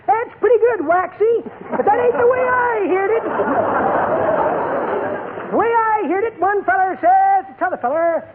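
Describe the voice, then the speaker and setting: A man's voice: very high voice, measured pace, pauses often, a loud crowd. An actor playing a shady, wisecracking old man with blustery, theatrical delivery in 1940s radio comedy. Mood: folksy teasing. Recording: tinny noisy 1940s radio, audience behind.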